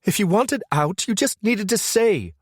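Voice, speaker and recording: A man voicing an angry young woman who accuses her partner over the phone, sharp and furious. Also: high-pitched, expressive, measured pace, husky, no room sound, clean studio sound, voice pushed up, exaggerated voice.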